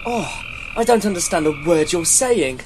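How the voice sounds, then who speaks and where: A man's voice: sits high, lively intonation, moderate pace, background noise. A man playing a fretful, cowardly young adventurer who exclaims to himself in an amateur comic radio play. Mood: anxious wonder. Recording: music bed behind the voice.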